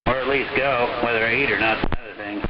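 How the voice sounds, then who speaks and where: A man's voice: mid-range voice, fairly even intonation, quick pace. A man chatting casually as an operator on a CB radio. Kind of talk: casual chat. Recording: noisy muffled CB radio transmission.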